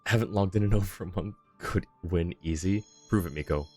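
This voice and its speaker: A man's voice: low-pitched, a little fast, soft background music. A tired man streaming a game late at night, chatting casually and reading game text aloud. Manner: relaxed, mumbling, reads game text aloud. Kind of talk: casual gameplay chat.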